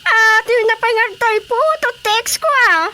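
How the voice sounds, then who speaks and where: Shrill, squeaky Ilocano village gossip. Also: female voice, fairly even intonation, measured pace, bright, forceful tone, a little hiss or hum, exaggerated voice. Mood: gossipy, animated. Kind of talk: Filipino radio drama comedy acting.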